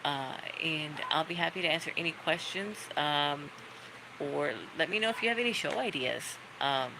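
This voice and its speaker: A woman's voice: sits low, normal speed, gravelly, background noise. A middle-aged woman chatting casually on a livestream, rambling through stories in a friendly, talkative way. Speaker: livestream host. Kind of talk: casual chat to viewers. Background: dogs barking.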